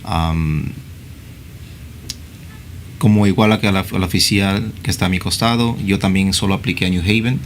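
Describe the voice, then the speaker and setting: A man, a police officer, speaking in a measured way with fillers and tag questions. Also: sits low, fairly even intonation, slight background noise. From Peru. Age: 30s.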